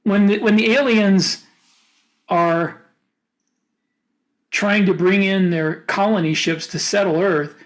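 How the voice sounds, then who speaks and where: A man with a notably high voice talks casually as a podcast co-host. He gives firm opinions on what he has read. His phrases often halt and restart as he works out the thought. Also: steady tone, low-quality recording. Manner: opinionated, halting mid-thought. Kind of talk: casual book discussion.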